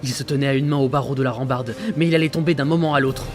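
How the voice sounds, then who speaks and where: An actor as a haunted sailor recounting horrors. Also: male voice, medium pitch, fairly even intonation, very fast, natural voice. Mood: tense. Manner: grave, haunted storytelling. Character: frightened male sailor narrating his ghost-ship journal. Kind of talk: dramatic first-person narration in French audio fiction. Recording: loud music under the voice.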